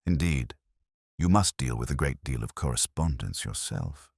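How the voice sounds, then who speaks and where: Man voicing a calm inspector, very deep, husky, measured and quiet, lightly probing. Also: no echo, studio quality, heavily acted. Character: calm shrewd male police inspector, wry.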